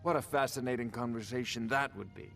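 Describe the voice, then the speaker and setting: An actor voicing a condescending, pedantic court wizard in a fantasy video game, with theatrical phrasing. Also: male voice, medium pitch, fairly quick, a bit bright, faint music behind, lightly acted. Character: haughty, scholarly male court wizard obsessed with dragon research. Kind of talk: video game character dialogue.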